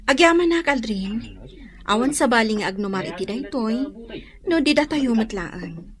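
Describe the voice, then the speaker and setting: An actress playing an affectionate, gently reluctant young female student in a radio drama. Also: a little high, lively intonation, a little slow. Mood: friendly. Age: young adult. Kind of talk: Ilocano radio drama acting.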